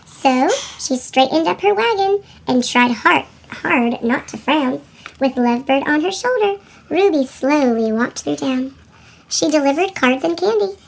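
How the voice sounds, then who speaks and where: A woman reading a children's picture book as storyteller, with a squeaky chipmunk voice effect. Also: expressive, a little slow, gravelly. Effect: sped-up chipmunk pitch shift. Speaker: read-aloud storyteller for children.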